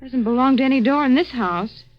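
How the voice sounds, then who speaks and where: An actress playing a practical, worried American sister in a 1940s radio drama, brisk and scolding but concerned. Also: female voice, sits high, soft background music, natural voice. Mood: puzzled, alarmed. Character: fussing, practical, worried sister of a troubled man. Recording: dull, muffled old radio recording.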